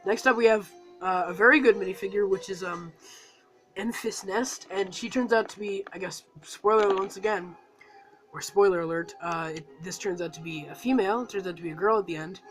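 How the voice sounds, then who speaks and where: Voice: mid-range voice, brisk, fairly bright tone, faint music behind, low-quality recording. A reviewer with a cold talking casually through a toy set review, rambling and full of fillers. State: has a cold.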